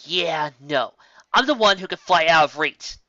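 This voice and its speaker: A man voicing a brash young female pegasus pony who shouts taunts. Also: a little high, lively intonation, measured pace, no room sound, full character voice. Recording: dry, dull lo-fi recording.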